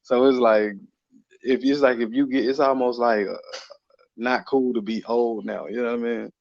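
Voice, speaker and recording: A man's voice: medium pitch, fairly even intonation, moderate pace, gravelly, a little mellow, no room sound, lo-fi sound. A man in casual podcast conversation, speaking informally with slang and often repeating his phrases.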